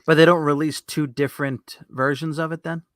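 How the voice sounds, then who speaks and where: A man's voice: fairly high pitch, steady tone, brisk, dry recording, clean studio sound. A man co-hosting a podcast in casual chat, talking in an informal, conversational way. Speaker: podcast co-host.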